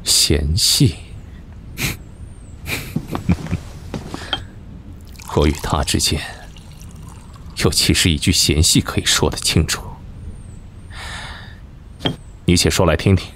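A man's voice: a bass voice, moderate pace, pauses often, a bit bright, slight background noise. An actor playing a brooding, imperious king of ancient China in a curt, commanding way, in a costume audio drama. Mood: cold, guarded.

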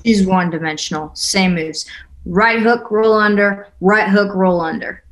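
A woman's voice: medium pitch, unhurried, a bit bright, lightly acted. A woman in her thirties acting a frank young woman at a screenplay table read. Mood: confident. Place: plain indoor room, video call.

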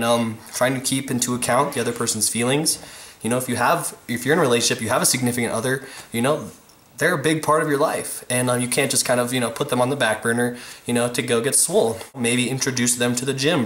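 A man in his twenties talks casually to the camera as a fitness vlogger. His voice sits at an average male pitch, and his delivery is slangy and laid-back, repeating words to drive points home. It was recorded in a living room with clear echo, on a camera mic at about arm's length. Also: fast, fairly bright tone. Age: 20s. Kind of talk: casual advice talk to camera.

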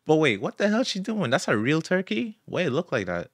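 A man's voice: a little high, big pitch swings, brisk, slight background noise. A young man streaming from a home room at a desk mic, reacting in loose, incredulous slang. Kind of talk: casual reaction commentary. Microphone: studio mic at desk, wearing headphones.

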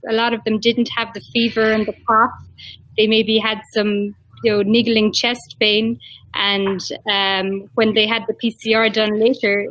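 A woman's voice: fairly high pitch, fairly bright tone, low-quality recording. A woman in her forties with an Irish accent, a cardiologist talking fluently on a video call, often repeating words as she searches for them. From Ireland. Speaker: interventional cardiologist. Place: small room indoors. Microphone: video call, laptop mic.

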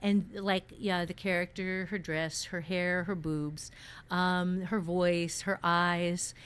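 A woman co-hosting a podcast, giving casual writing advice in a halting way, repeating words for emphasis. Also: on the low side, little pitch movement, a bit bright, smooth. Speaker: podcast host, experienced fiction writer. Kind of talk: casual advice discussion about fiction writing.

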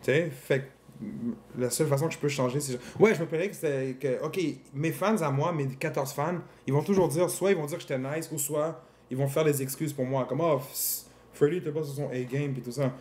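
A man's voice: a little high, brisk, a little mellow. A man, a battle rapper, talking in a casual chat in French mixed with English phrases, rambling and self-mocking, recorded at a table microphone in a small room.

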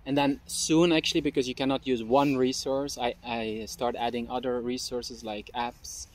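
Flemish man, 30s, easygoing guest with loose fillers, mid pitch, recorded outdoors. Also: normal speed. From Belgium. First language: Dutch. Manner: relaxed, with frequent fillers and restarts. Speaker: guest, language teacher. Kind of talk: casual interview answers. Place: park, seated at a picnic table outdoors.

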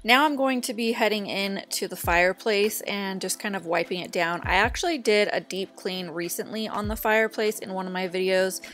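A young woman narrating her own home video in a chatty, relaxed voice-over, talking straight to her viewers. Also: mid-range voice, moderate pace, hardly any pauses. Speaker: vlogger. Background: faint background music.

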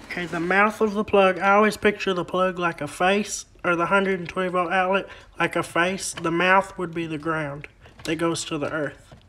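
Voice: medium pitch, steady tone, moderate pace, pushed and bright. A hobbyist host giving a hands-on repair demonstration, explaining each step in a plain way. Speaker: vintage radio repair hobbyist and video host.

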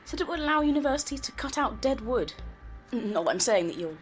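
An actress playing an apologetic, awkwardly explanatory university official in an audio drama. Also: female voice, sits high, very animated, fast talker, soft background music. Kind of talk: naturalistic audio drama acting.

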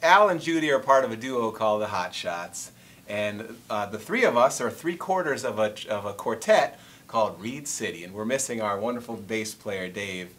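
A man's voice: fairly low pitch, lively intonation, moderate pace, fairly bright tone. A middle-aged man hosting a harmonica lesson, explaining instruments in a casual, friendly way in a curtained convention room. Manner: friendly, explanatory, counts out rhythms. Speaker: host and harmonica player. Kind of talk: casual interview and demonstration.

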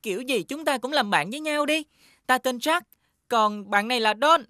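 Tiny helper guy, cartoon, high dry voice, earnest n kinda bossy but nice. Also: lively intonation, normal speed, sharp tone, dry recording. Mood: friendly, welcoming. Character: tiny shoemaker, friendly hardworking kind-hearted young leader. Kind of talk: children's animated fairy tale acting.

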